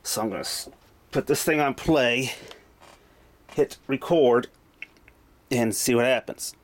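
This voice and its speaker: A man's voice: medium pitch, unhurried. A man casually narrating a hands-on demo in an offhand, matter-of-fact way, recorded indoors on a handheld camera. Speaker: hobbyist vlogger. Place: dim indoor room at home. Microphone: camera mic, held by speaker. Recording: clearly noisy handheld camera audio.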